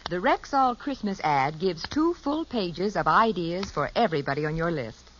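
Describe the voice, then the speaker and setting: Lively woman radio announcer, muffled recording. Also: lowish voice, normal speed, slight background noise. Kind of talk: scripted 1950s radio advertising read.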